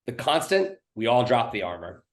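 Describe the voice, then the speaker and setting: A man's voice: medium pitch, very animated, normal speed. A man hosting a podcast in loose casual chat, with frequent restarts and swearing. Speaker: podcast host. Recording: roomy echo.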